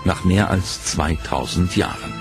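A man giving scripted, measured documentary narration in a dramatic storytelling manner, over a music bed. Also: sits low. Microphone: studio voice-over mic.

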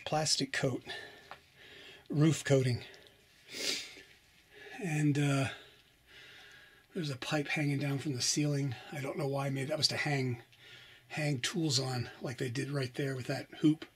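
A man's voice: mid-range voice, fairly even intonation, measured pace, pauses often, husky. Man musing aloud while exploring. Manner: musing, hesitant, thinking aloud. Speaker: explorer vlogger. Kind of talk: casual walkthrough narration. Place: inside an abandoned mine and outdoors in desert.

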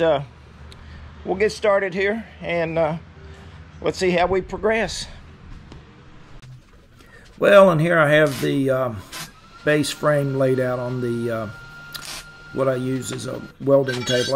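A man's voice: medium pitch, gravelly. Rambling middle-aged man in his garage workshop. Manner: rambling, many uhs and ums, plain-spoken. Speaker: hobby welder and channel host. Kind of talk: casual workshop narration. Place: large open garage workshop. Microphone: camera mic at a distance, close selfie at start. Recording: workshop noise behind, distant mic.

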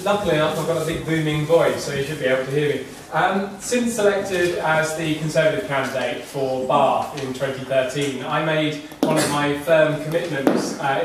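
Young male candidate, somewhat high voice, lots of uhs, distant, echoey room. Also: background noise. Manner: fluent with many filler ums and uhs. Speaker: parliamentary candidate. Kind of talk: campaign speech at a hustings. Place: meeting room with audience. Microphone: far room camera.

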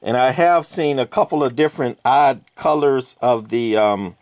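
A man talks through old radio hardware in a cluttered workshop, casual and rambling, full of fillers. His voice sits at an ordinary middle pitch. The sound is dry, with no room echo. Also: normal speed, a little mellow. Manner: rambling, many fillers and hedges. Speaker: radio collector. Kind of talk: casual hands-on walkthrough.

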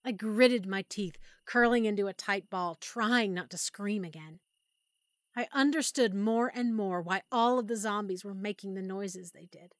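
A woman's voice: medium pitch, moderate pace, no room sound, clean studio sound, close to an everyday voice. A woman voicing a young woman who is turning into a zombie, reading out her final letter in a strained, fearful way. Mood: desperate. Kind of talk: audiobook character monologue.

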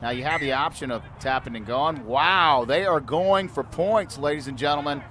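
A man's voice: on the high side, moderate pace, sharp tone, a little hiss or hum. A man commentating a youth rugby match at an outdoor field, explaining the rules and lifting his voice on big plays. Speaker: play-by-play commentator. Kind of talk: live sports commentary.

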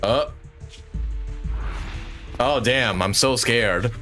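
A man livestreaming casual gameplay reactions, excitable and sweary, blurting short comments. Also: mid-range voice, drawn-out words. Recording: loud game music behind the voice.

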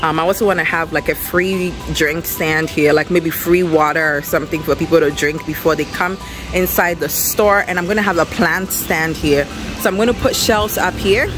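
A young woman chatting casually and excitedly to viewers as a vlogger and business owner, recorded on a camera at arm's length. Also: on the low side. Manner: excited, upbeat. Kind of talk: casual vlog chat to viewers. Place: outdoor storefront and bare empty shop room.

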